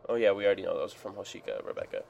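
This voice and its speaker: A man's voice: sits low, expressive, rapid-fire, gravelly, a crowd in the background. A teenage man hosting a casual group podcast, rambling with lots of fillers. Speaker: podcast host. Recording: noisy home recording.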